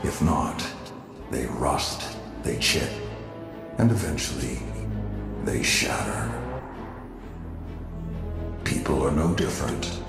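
Stern, weary veteran warrior mentor for a game; gravelly, swinging, admonishing delivery. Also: male voice, rumbling low, animated, exaggerated voice. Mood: stern, reflective. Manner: deliberate, admonishing. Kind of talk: dramatic video game acting. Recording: echoing, loud music underneath.

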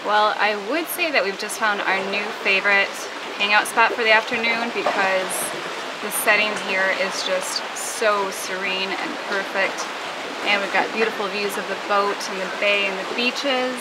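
Chatty woman vlogging over loud outdoor noise. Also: medium pitch, moderate pace. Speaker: vlogger, host. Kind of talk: casual travel vlog chat to camera. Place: outdoors on boats and waterside decks. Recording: outdoor recording with loud water and boat noise.

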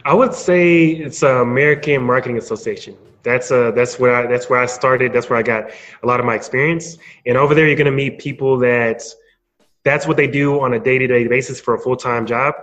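A man giving an informal, halting answer as a guest in a casual interview. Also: medium pitch, fairly bright tone, muffled recording. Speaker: podcast guest, marketing speaker.

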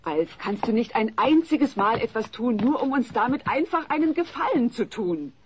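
A woman playing a worrying, exasperated suburban mother in a comedy audio play, with a husky voice. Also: a little high, animated, normal speed.

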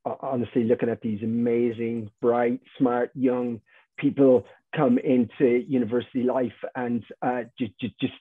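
A middle-aged man, a university academic, speaking haltingly with many repeated words on a webinar panel. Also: mid-range voice, level tone, husky, dry recording. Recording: tinny dry video call audio.

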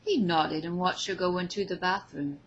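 A woman reading fan fiction aloud as an expressive story narrator. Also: fairly low pitch, normal speed, highs cut off.

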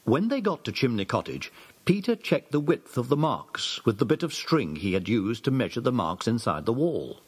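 A man's voice: mid-range voice, very animated, normal speed, slightly rough. A man narrating a children's audiobook drama in a scripted, storytelling way. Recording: old cassette audiobook recording.

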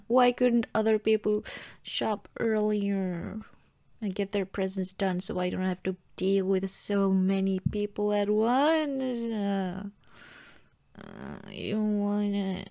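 A woman acts out a pouting, playfully whiny girlfriend in an intimate ASMR roleplay. She talks very slowly and haltingly, pleading in a giggly mock sulk. The sound is close and very dry. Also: medium pitch, creaky, dark tone, dry recording, heavily acted. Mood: pouty, amused.

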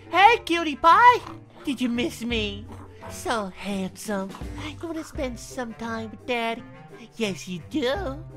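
A woman's voice: on the high side, very animated, slow. A woman voicing a flirty, crude male spider demon in a fan comic dub, talking in a teasing way. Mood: doting. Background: background music.

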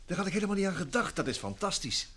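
A man's voice: high-pitched, big pitch swings, rapid-fire, fairly bright tone, heavily acted. An actor playing a genial, chatty, politely self-deprecating male traveller in a vintage Dutch radio drama. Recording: faint noise of old radio recording.

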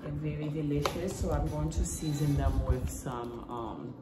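A woman's voice: deep voice, normal speed, over loud music. A woman in her thirties with a Jamaican accent, chatting casually in a family vlog while cooking in her home kitchen, recorded on a phone camera. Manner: relaxed, chatty, laughs easily. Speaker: vlogger, mother. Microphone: phone camera at arm's length. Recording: echoey kitchen with noise.

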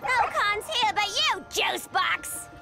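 High wisecracking clown girl from a game, swoops all over, loud music behind. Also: expressive, slow pace, gravelly, exaggerated voice. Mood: flippant, teasing. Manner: flippant, teasing, wisecracking. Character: playful, cheeky young female clown villainess turned hero. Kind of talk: video game character acting.

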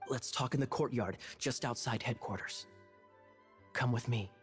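A man voicing a sly young knight captain who speaks in a smooth, theatrical, playfully mocking way. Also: medium pitch, normal speed, soft background music, natural voice. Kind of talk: game cutscene dialogue.

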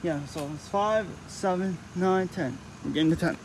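A man's voice: high voice, normal speed, background noise. A young man vlogging at a car wash, talking in a rambling way, repeating himself and restarting phrases, recorded on a handheld phone outdoors. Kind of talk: casual walkthrough vlog. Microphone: handheld phone camera.

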